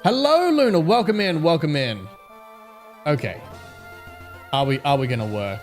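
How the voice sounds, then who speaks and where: Aussie guy streaming, kinda hoarse, highish voice, chatty w/ chat. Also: animated, moderate pace, faint music behind. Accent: Australian. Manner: chatty, greets viewers by name, fretting over technical trouble. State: slightly hoarse, voice going. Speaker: game streamer. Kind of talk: casual live-stream chat to viewers.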